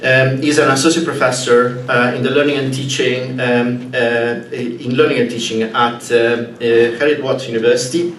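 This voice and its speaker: A man's voice: medium pitch, flat delivery, moderate pace, hardly any pauses, a bit bright. A man hosting a conference, making announcements and introducing a keynote with many hesitant fillers. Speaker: conference host. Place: conference room. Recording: echoey room, distant mic, faint noise.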